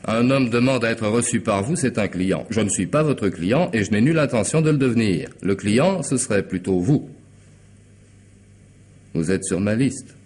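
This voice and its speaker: An actor playing a coolly polite, intelligent hired killer in a radio mystery drama, speaking deliberately and sardonically, with commanding theatrical pauses. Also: male voice, fairly low pitch, animated, a little mellow, natural voice. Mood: sardonic, menacing. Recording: noisy old radio drama recording.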